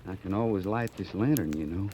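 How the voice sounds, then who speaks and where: A man as a mellow, grumbling frontier trooper. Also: sits low, brisk, lightly acted. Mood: uneasy, wry. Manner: drawling, folksy, grumbling. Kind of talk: old-time radio western acting. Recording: hissy old radio drama recording.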